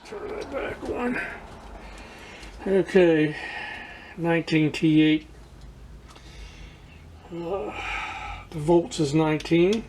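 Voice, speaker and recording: A man giving a casual hands-on demonstration to viewers as a hobbyist, haltingly searching for words and repeating himself. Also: fairly high pitch, darkish tone, slight background noise. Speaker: radio restoration hobbyist presenting to viewers.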